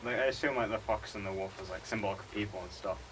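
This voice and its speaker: A young adult man, a classmate haltingly asking a casual question. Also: fairly low pitch, a bit bright. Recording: distant mic, noisy room.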